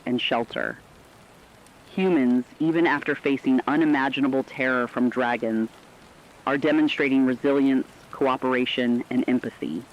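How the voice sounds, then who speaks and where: A man playing an earnest radio news co-anchor, giving grave, measured scripted reports on a disaster. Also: mid-range voice, natural voice. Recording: dull lo-fi sound with faint noise.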